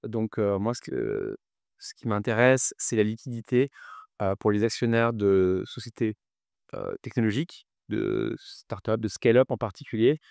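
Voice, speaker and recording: Man, thirties, podcast guest; low, clean voice, conversational with halting repeats, close dry sound. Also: lively intonation, gravelly, a little mellow, clean tone, no echo. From New Caledonia. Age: 30s. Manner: hesitant, frequent fillers and repeated words. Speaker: podcast guest, finance executive. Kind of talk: conversational interview answers.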